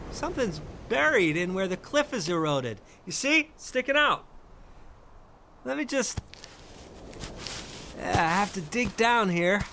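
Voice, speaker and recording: An actor playing a haunted, curious solitary man in an audio drama, halting and gravelly, as if thinking aloud. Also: very high-pitched, pushed and bright, a little hiss or hum, full character voice. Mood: curious, musing. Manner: hesitant, halting, murmuring to himself. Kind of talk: first-person dramatic narration with in-scene dialogue.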